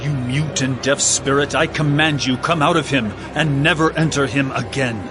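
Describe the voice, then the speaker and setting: A man's voice: mid-range voice, normal speed, close to an everyday voice. A man playing an authoritative, solemn teacher in a dramatized audio Bible, speaking in exhorting declarations. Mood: stern, commanding. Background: background music.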